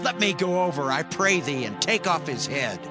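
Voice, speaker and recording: A man's voice: on the high side, sing-song, moderate pace. A man playing a hot-headed warrior loyal to his king in a dramatized scripture reading. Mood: indignant. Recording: music in background.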